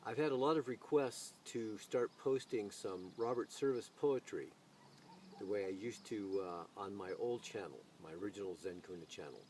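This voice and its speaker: Mellow man reciting, distant noisy recording. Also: on the low side, moderate pace. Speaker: video channel host reading poetry aloud. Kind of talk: expressive poetry recitation with casual spoken introduction. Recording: distant mic, noise, birdsong ambience.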